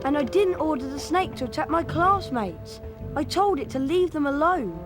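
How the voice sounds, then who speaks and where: A young British boy wizard from a video game. He is earnest and well-mannered, with a high, lively voice over background music. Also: normal speed. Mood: earnest, polite. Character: young schoolboy wizard, earnest, polite and defensive.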